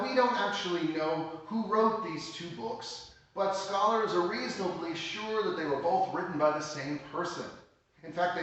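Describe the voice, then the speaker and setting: A man delivers a Sunday sermon in a steady, explaining manner, his voice sitting a little high. The sound carries heavy church reverb, as if picked up from a distance across the sanctuary. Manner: measured teaching tone, explains scripture plainly. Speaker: pastor. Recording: echoey church hall, mic at a distance.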